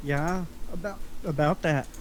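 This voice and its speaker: A man plays a touchy, anxious criminal henchman who bickers with his crew. His voice is high for a man, in an amateur script reading with faint background noise. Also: measured pace, close to an everyday voice. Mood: uneasy. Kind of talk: amateur screenplay table read.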